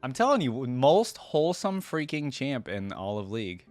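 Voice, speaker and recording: A man's voice: medium pitch, sing-song, moderate pace, darkish tone, faint music behind. A man giving chatty, musing off-the-cuff reaction commentary, close to the microphone. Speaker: reaction video host.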